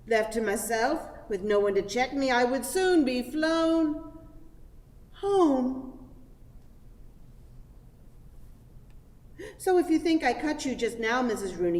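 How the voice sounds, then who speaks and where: Pious dreamy spinster, radio drama, high affected voice, swooping sanctimonious delivery. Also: female voice, a little mellow. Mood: vague, distant. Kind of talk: theatrical radio play acting. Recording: echoey hall with long reverb.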